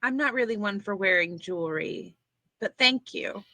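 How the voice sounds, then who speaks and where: Woman acting bright, guarded young woman. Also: mid-range voice, moderate pace, lightly acted. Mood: guarded, polite. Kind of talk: amateur screenplay table read.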